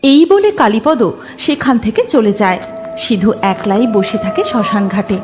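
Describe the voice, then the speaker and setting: A woman's voice: a little high, animated, normal speed. A woman narrating a scripted horror story dramatically, as the narrator of a cartoon. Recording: music laid under the narration.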